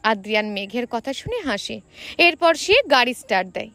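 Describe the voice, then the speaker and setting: A woman reading a scripted romantic story as an expressive narrator. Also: on the high side, normal speed, no room sound.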